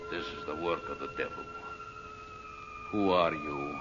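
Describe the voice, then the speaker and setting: An actor playing a grandiose, power-mad villain in a menacing, bombastic old-time radio serial style. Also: male voice, very deep voice, fairly even intonation, measured pace. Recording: dull old radio recording, loud music.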